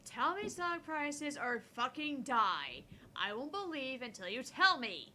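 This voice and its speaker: Woman playing a bright, brash internet poster. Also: high voice, big pitch swings, measured pace, heavily acted. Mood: brash, incredulous. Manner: brash, incredulous. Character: brash, excitable, gullible internet forum posters. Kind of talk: visual novel read aloud. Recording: faint background noise.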